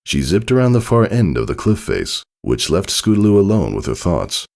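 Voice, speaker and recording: A man's voice: low-pitched, very animated, normal speed, creaky, a little mellow. A man reading scripted storybook narration as the narrator of a piece of fiction.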